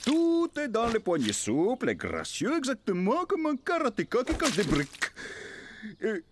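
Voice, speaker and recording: A man's voice: extremely high pitch, huge pitch swings, moderate pace, background noise, full character voice. A man playing a bumbling, flustered ninja school teacher in a cartoon, lecturing with hesitant stammers. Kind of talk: French cartoon dub acting.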